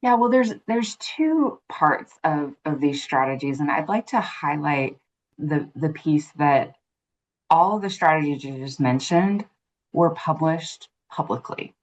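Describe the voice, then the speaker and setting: A woman in her thirties answering as an interview guest, earnest, fluent and measured. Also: low-pitched, expressive. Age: 30s. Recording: muffled lo-fi audio.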